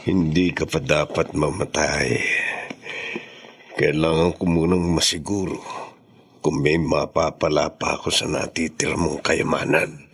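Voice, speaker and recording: A man's voice: rumbling low, little pitch movement, a little slow, creaky, husky, heavily acted. A man playing a greedy, scheming son-in-law in a radio drama, sly and cynical in manner. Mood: cold, menacing.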